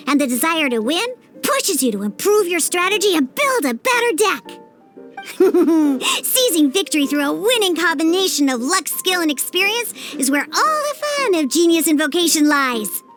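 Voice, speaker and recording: A woman's voice: very high voice, fairly bright tone, exaggerated voice. A woman voicing a proud, prickly young cat-girl bartender in an English game dub, with a sassy, brash, emphatic delivery. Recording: studio recording over background music. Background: game background music.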